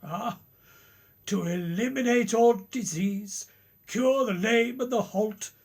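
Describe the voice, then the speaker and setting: A man playing a formal senior professor who speaks deliberately and questioningly in a radio drama. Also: high voice, full character voice. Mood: probing, wry. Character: measured, probing senior professor of surgery.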